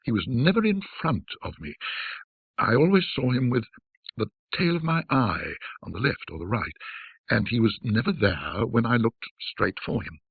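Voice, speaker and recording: A man voicing a frightened, haunted man in a ghost story, agitated and halting as he confesses a terrible secret. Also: medium pitch, big pitch swings, creaky, husky, dry recording, narrow, tinny audio, exaggerated voice. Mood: haunted, confiding. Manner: agitated, hesitant, halting pleas.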